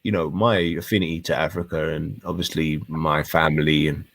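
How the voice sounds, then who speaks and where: A British man in his thirties in a heated debate, speaking in halting, insistent, repetitive bursts with sweary slang. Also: a bass voice, steady tone, creaky, fairly bright tone. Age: 30s.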